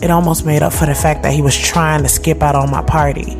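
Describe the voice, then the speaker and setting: A woman in her thirties playing a sharp-tongued, moody woman who tells her own story in a candid, slangy audio drama. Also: deep voice, fairly even intonation, a little fast. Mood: sultry confiding. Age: 30s. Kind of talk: first-person audio drama narration and dialogue. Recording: music bed behind the voice.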